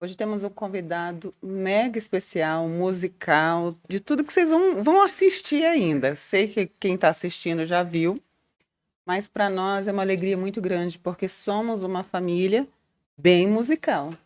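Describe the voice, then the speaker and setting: A woman's voice: medium pitch, moderate pace. A middle-aged woman with a Brazilian accent, co-hosting a podcast in a conversational style. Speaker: podcast co-host, pastor.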